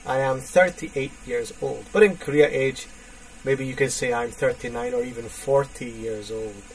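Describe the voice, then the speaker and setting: A man in his thirties teaching a basic English lesson, speaking slowly and repeating phrases for learners. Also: medium pitch, expressive, gravelly. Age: 30s. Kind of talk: online English lesson for students. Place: indoors, small room. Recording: distant webcam mic with faint hiss.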